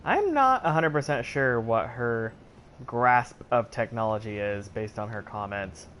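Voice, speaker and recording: A man's voice: medium pitch, animated, measured pace, fairly bright tone, slight background noise. A man streaming a game, thinking aloud in a sarcastic, puzzled way. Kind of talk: casual let's-play commentary.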